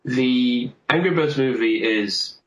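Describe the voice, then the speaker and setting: A man's voice: mid-range voice, takes it slowly. A man in a casual film discussion, talking in a rambling, explanatory way. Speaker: podcast host. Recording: roomy, echoey sound.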